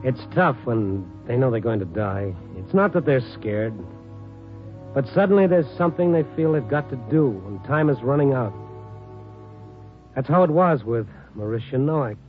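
An actor playing a world-weary, dogged American newspaper reporter, narrating and talking tersely in a 1950s radio drama. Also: male voice, mid-range voice, lively intonation, normal speed, close to an everyday voice. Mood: weary, reflective. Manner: terse, hard-boiled, conversational. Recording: tinny old radio broadcast, music underneath.

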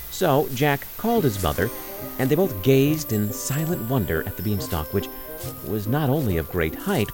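A male storyteller reads a children's fairy tale. His voice sits low and moves with very lively, animated intonation. Soft music plays underneath. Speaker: storyteller, narrator. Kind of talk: scripted fairy tale narration.

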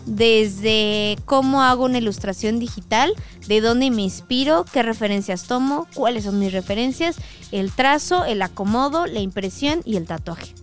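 A woman talks as a guest in a radio studio booth. Her voice is fairly high, and she speaks in a relaxed, chatty way with little jokes. Faint music plays underneath. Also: moderate pace. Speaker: guest, tattoo artist. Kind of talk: casual radio interview.

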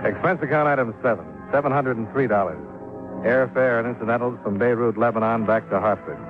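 An actor in a 1950s radio drama playing a wry, clipped, sardonic insurance investigator who also narrates. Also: male voice, mid-range voice, brisk, close to an everyday voice. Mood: dry narration. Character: wry, hard-boiled male insurance investigator narrating his case. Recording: tinny phone-like 1950s radio recording with music.

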